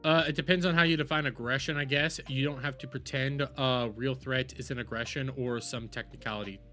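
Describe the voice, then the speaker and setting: A man's voice: mid-range voice, steady tone, fairly quick, bright, forceful tone, soft background music. A man giving casual, rambling livestream commentary and reactions in a blunt, emphatic, profane way.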